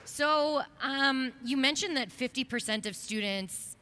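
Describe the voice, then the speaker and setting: A woman's voice: high voice, a little slow, sharp tone. Upbeat woman hosting a stage event. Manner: upbeat, enthusiastic. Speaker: stage host, interviewer.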